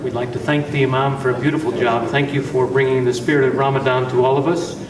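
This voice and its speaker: A man's voice: mid-range voice, little pitch movement, fast talker, noisy background. A man hosting an event, giving closing thanks and announcements. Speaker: event host. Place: large dinner banquet room. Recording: echoey room, distant mic, crowd murmur.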